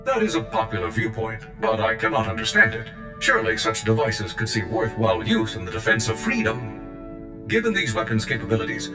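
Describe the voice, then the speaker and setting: A man plays a loyal combat droid with a low voice, proud and zealous. Every word is formal and precise, like a military report in a video game. The sound is echoey, with music behind it. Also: close to an everyday voice. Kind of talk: scripted video game acting. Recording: game cutscene with echo and music.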